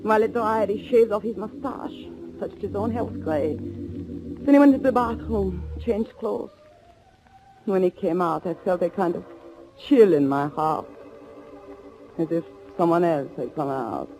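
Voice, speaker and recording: An actress playing a bereaved, steely young bride bent on revenge, with dramatic, measured delivery in 1940s radio thriller style. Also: female voice, medium pitch, mellow, faint music behind, natural voice. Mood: somber. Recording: noisy old 1940s radio recording.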